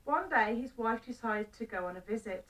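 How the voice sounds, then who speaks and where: A woman storyteller reading a children's story aloud in simple phrases with deliberate pauses. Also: a little high, expressive, fairly bright tone, far from the mic, poor audio.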